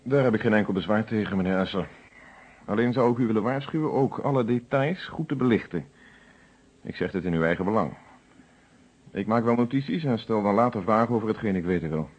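An actor playing a composed, methodical police inspector in a Dutch detective radio play. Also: male voice, lowish voice, expressive, fairly quick, husky. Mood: formal, probing. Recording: muffled old radio recording.

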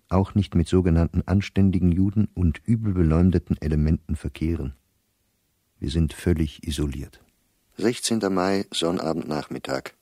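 Very deep, weary professor reading his diary. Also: warm tone, breathy edge, dry recording, clean studio sound. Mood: resigned, gloomy. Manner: precise, clipped telegraphic diary phrasing. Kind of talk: radio play diary monologue.

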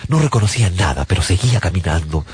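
A man's voice: low voice, lively intonation, quick pace, breathy edge, exaggerated voice. An actor playing a haunted survivor, a husband and father, narrating a radio drama as grave first-person testimony over music. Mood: weary, haunted. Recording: loud music bed behind the voice.

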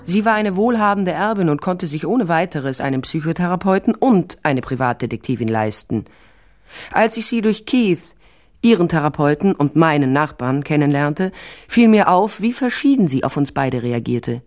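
A woman's voice: low voice, lively intonation, normal speed, darkish tone. A woman playing a tall, sardonic private detective in a crime radio drama, speaking and narrating in a dry, self-possessed way. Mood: wry, confiding. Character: tall sardonic female private detective, former police officer. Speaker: lead actor and first-person narrator.

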